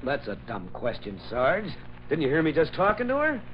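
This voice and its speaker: An actor playing a cocky, slangy young newspaper reporter in an old-time radio drama. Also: male voice, a little high, huge pitch swings, moderate pace. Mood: brash. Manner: slangy, brash. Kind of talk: 1940s radio acting. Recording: muffled, noisy old radio recording.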